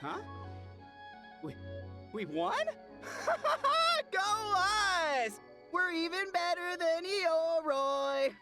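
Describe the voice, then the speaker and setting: A woman playing a small, cute, naive and excitable animal spirit in a video game. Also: extremely high pitch, extremely slow, full character voice. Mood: delighted. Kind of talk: scripted game cutscene acting. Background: music.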